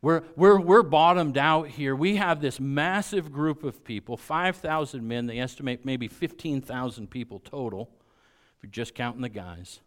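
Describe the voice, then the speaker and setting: A man with an American accent preaching a sermon to a congregation, repeating key phrases for emphasis and moving between quiet and loud delivery. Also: medium pitch, moderate pace.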